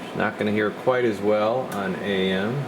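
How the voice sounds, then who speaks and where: Guy with a lowish voice doing a rambly tech demo, thinking out loud, noticeable room hum. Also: fairly even intonation, moderate pace. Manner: rambling, thinking aloud while working. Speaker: radio technician demonstrating a CB radio. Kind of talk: casual hands-on product walkthrough.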